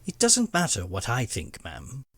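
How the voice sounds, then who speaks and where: A man playing a dutiful, protective butler in an audio drama, with a formal, deferential and measured way of talking. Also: mid-range voice, huge pitch swings, fairly bright tone, husky, exaggerated voice. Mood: reserved.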